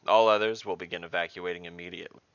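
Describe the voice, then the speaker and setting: Male town mayor, read by a male narrator: pragmatic, authoritative, forceful, fantasy audio drama. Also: low-pitched, fairly even intonation, fast, smooth, dry recording, muffled recording. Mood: decisive, grave. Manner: formal, commanding.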